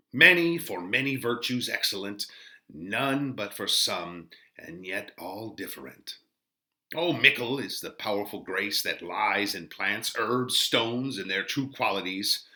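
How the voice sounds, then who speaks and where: A man playing a contemplative friar in a dramatic Shakespearean verse reading, slow, emphatic and declamatory. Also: fairly low pitch, sharp tone, full character voice. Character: contemplative friar musing alone on plants, virtue and vice.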